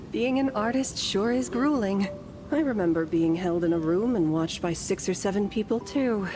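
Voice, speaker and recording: A woman voicing an ancient, serene female guardian in a story game, with formal, measured, gently teasing phrasing. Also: mid-range voice, expressive, noisy background. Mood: amused, composed.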